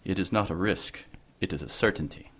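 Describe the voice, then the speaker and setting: An actor playing a suave, worldly, flirtatious monsignor with a courtly, formal manner in an audio drama. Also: male voice, low voice, fairly quick, fairly bright tone, husky. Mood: wary, courteous.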